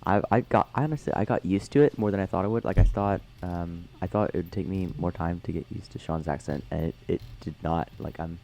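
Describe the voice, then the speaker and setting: A young man co-hosting a casual podcast, talking haltingly with many fillers, his voice tired after a concert. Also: low-pitched, gravelly, warm tone, a little hiss or hum. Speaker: podcast co-host. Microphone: headphones on, remote call.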